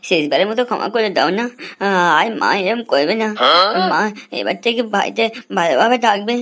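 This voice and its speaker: A woman voicing a bold, whiny little boy with a loud, emphatic delivery in a comedy cartoon. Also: medium pitch, sing-song, fairly quick. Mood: pleading. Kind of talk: adult comedy cartoon dialogue.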